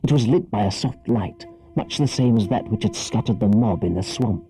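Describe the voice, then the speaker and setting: A man narrating a children's story as an audiobook reader, with dramatic, scripted delivery and a husky voice. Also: on the low side, expressive, moderate pace, mellow, faint music behind.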